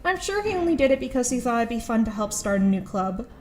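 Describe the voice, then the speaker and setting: Woman acting high-voiced, grudging high school girl. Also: big pitch swings, fast talker, a little mellow, slight background noise. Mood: wry, resigned. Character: reluctant, exasperated high school girl gamer, privately soft-hearted. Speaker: narrator and lead character in an audio drama. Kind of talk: first-person narration and anime-style dialogue.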